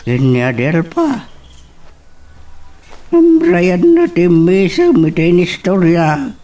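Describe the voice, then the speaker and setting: Man acting a slow, musing drama character. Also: fairly high pitch, darkish tone, recorded from a distance, full character voice. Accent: Filipino.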